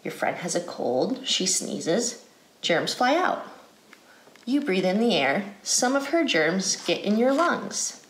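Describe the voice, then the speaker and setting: An American woman reading a picture book aloud to children as an educator, phrasing deliberately in a guided read-aloud style. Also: mid-range voice, very animated. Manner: deliberate, clearly phrased, asks questions. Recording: roomy indoor echo.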